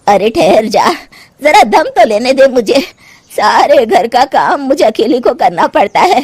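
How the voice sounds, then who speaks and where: An exasperated older mother-in-law from a cartoon moral tale, acted by a woman. Her voice is high and swings widely as she scolds and complains theatrically. The sound is close and dry. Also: big pitch swings, a little slow, a little mellow, husky, dry recording, full character voice. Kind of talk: Hindi cartoon moral story acting.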